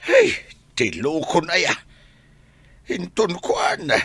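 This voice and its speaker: A man's voice: a little high, wildly swooping pitch, takes it slowly, a bit bright, breathy edge, dry recording, exaggerated voice. A man acting an irritable, suspicious village father-in-law in an Ilocano-accented radio comedy drama. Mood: scolding.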